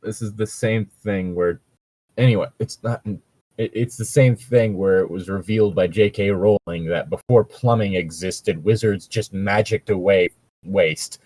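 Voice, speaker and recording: A man's voice: on the low side, fairly even intonation, measured pace, darkish tone, slightly rough, dry recording. A man reading fan fiction aloud with casual, exasperated, sarcastic, sweary comic commentary.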